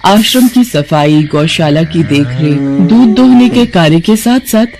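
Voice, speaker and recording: A woman's voice: fairly low pitch, animated, measured pace, music in the background. A woman narrating a scripted devotional story.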